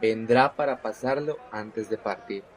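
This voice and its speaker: An actor playing a devout young disciple in a radio drama, speaking fervently and sincerely. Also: male voice, fairly low pitch, unhurried, some chatter behind, close to an everyday voice, low-quality recording.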